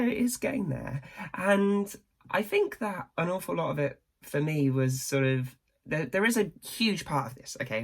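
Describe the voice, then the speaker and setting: A young woman with a slightly croaky voice, chatting casually and rambling to camera. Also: very deep voice. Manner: chatty, rambling, self-deprecating. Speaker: vlogger.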